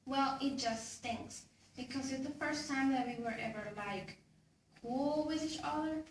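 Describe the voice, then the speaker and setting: A woman's voice: sits high, unhurried. A woman playing a resentful, complaining grown child in a readers theater performance. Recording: echoey room, distant mic, faint noise.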